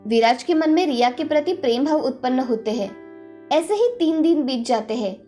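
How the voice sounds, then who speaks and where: A woman's voice: high voice, expressive, moderate pace, dark tone. A woman storyteller giving scripted narration of a children's fairy tale. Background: faint background music.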